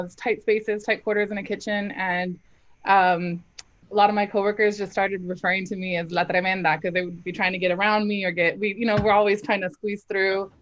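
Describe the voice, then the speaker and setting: A young adult woman, a dramatic soprano, talking candidly and emphatically in an interview, laughing often. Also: medium pitch. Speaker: opera singer, dramatic soprano. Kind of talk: casual interview talk. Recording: slightly dull live stream audio.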